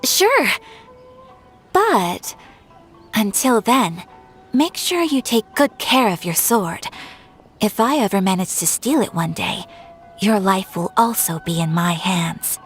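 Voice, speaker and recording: A woman playing a polite, refined young noblewoman swordfighter in a video game, formal and gentle. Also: medium pitch, animated, slow, pauses often, faint music behind. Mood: thoughtful, sincere.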